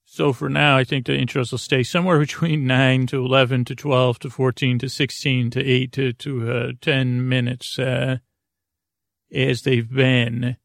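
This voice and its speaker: Male sleep-podcast host, creaky low-key voice, dry close sound, meandering and self-mocking. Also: little pitch movement, a little slow, dry recording. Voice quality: soft creaky tones. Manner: rambling, easily sidetracked, self-mocking. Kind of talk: rambling bedtime podcast talk.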